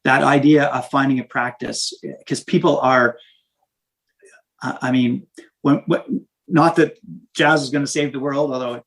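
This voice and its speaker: A man who is a minister and saxophonist, talking in an interview in a halting way, restarting often as he searches for words. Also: medium pitch, steady tone, fairly bright tone, poor audio. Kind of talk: casual interview conversation.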